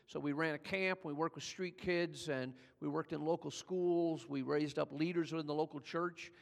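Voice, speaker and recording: A man's voice: fairly even intonation, normal speed, gravelly. Older man preaching in a reverberant hall. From California. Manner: conversational preaching, builds in pitch and volume as he tells the story. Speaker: pastor. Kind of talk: sermon.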